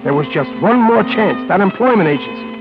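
A man's voice: high voice, lively intonation, fairly quick. An actor playing an ordinary, nervous wisecracking guy in a 1940s radio crime drama, with an American accent and slangy, stammering delivery. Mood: wry, weary. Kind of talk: 1940s radio acting, hardboiled first-person narration. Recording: tinny old radio broadcast with loud music.

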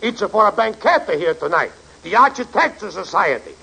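An actor playing an excitable, big-hearted Italian immigrant food seller with a raspy voice and broken English, in old radio comedy style. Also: male voice, sits high, normal speed, heavily acted, poor audio. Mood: pleading, effusive. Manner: exclamatory, broken English, effusive. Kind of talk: 1940s-50s radio comedy acting.

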